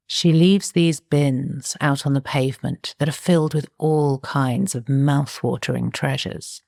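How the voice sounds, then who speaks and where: A woman voicing a contented, naive scavenging monster who narrates a horror audio story in a measured, expressive way. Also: a bass voice, vocal fry, dry recording, clean studio sound, full character voice. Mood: relishing. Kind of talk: scripted first-person horror audio story.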